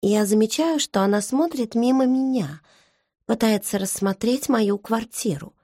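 Slow, dry-voiced woman narrating an audiobook. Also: a little high, animated, dark tone, no echo. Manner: measured, intimate inner monologue. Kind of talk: first-person novel narration. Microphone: close studio mic. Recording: dry studio recording.

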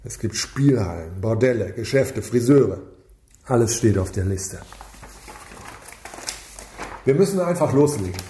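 A man playing a driven, businesslike police team leader in a radio crime drama, brisk and commanding. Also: mid-range voice, lively intonation, dark tone, close to an everyday voice. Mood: urgent, commanding. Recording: roomy echo, music underneath.

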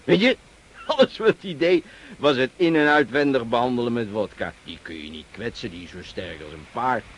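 An actor in a Dutch radio play playing a gruff, commanding cavalry officer who barks orders and questions. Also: male voice, mid-range voice, expressive, normal speed, a little hiss or hum, natural voice, low-quality recording. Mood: amused. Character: brisk, commanding male cavalry officer, gruff but amused. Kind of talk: Dutch radio drama acting.

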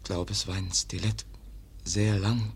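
An actor in a radio play voicing a weak, wounded young man who speaks in halting, breathy, pained phrases. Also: fairly low pitch, flat delivery, full character voice. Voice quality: breathy, whispering, strained voice. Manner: halting, short broken phrases. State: weak and in pain. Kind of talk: German radio play acting.

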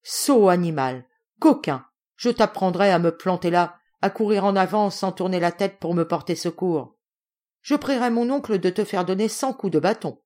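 A woman's voice: mid-range voice, very animated, moderate pace, a little mellow, pitched above the performer's own voice. A woman voicing a domineering middle-aged noblewoman, imperious and effusive, in a storybook reading. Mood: furious. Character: domineering, gushing middle-aged Russian noblewoman, scolding and flattering.

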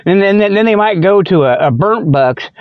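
A man's voice: high voice, lively intonation, normal speed, clean tone, dry recording. A man hosting a comic radio show in a folksy, joking monologue that keeps wandering off track. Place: inside a truck. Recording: dry, dull lo-fi audio.

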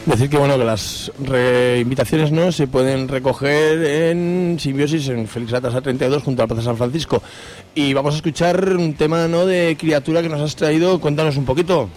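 A man's voice: on the high side, normal speed, a little mellow. A man with a Spanish accent from Spain, hosting an informal radio show and reading announcements. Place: radio studio. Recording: faint music underneath.

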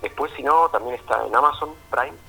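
Raspy Argentine man on a phone-line interview. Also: mid-range voice, a little fast, bright, forceful tone, soft background music. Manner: informal and explanatory, often checking with tag questions. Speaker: documentary filmmaker being interviewed. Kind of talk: casual, conversational interview answers.

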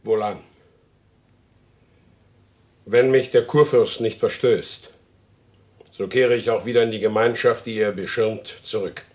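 An actor in a German radio play, playing a proud, stubborn horse dealer who demands justice in solemn, formal speech. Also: male voice, on the low side, fairly even intonation, unhurried, long pauses, natural voice. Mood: restrained resolve.

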